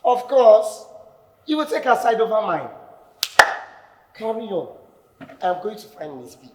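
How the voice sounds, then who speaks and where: An actor playing a smug, condescending young debater who insists on logic. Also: male voice, high-pitched, sing-song, unhurried. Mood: sulky. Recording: echoey hall, faint noise.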